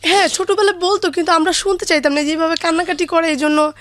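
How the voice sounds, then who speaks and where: A young woman with a Bangladeshi accent, speaking as an interview guest and explaining things informally in a halting, colloquial way. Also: extremely high pitch, steady tone, a bit bright, no room sound. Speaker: guest, daughter of the main guest.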